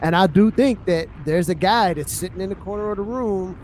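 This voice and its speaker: A man's voice: high voice, brisk, darkish tone, a little hiss or hum. An American man chatting casually as a music podcast panelist, giving opinions in a conversational, slangy way. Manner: conversational, frequent "you know what I'm saying".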